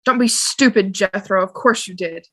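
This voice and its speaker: A woman's voice: mid-range voice, moderate pace, no echo, lightly acted. A woman playing a stubborn, panicky, bossy wife in an amateur drama table read, insistent and scolding.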